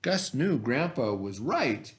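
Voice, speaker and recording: A man speaking as a teacher to children, expressive and encouraging, with dramatic emphasis and pauses. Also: medium pitch. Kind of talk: expressive read-aloud for children.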